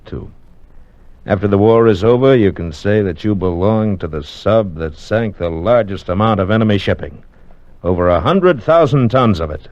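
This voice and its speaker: A man's voice: low voice, darkish tone, no room sound. A man acting as a confident, easygoing navy narrator in an old-time radio docudrama, with a folksy, assured way of explaining things. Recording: dull lo-fi vintage radio recording.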